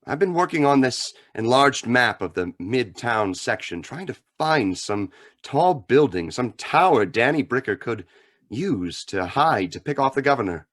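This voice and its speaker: A man plays a grave, authoritative crime-fighter in an old radio serial. His mid-pitched voice is measured and formal, with a commanding firmness. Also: very animated, a bit bright. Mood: grave, thoughtful. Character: calm, authoritative crime-fighting mystery hero, stern and grave. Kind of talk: old-time radio drama acting.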